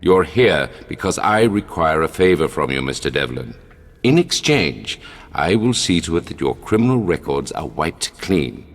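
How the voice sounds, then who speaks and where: A man's voice: rumbling low, big pitch swings, normal speed, big-room sound, heavily acted. A male actor playing a suave, menacing British spymaster in a video game, urbane, dry and condescending, with an English accent. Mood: coolly menacing. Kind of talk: dramatic video game acting.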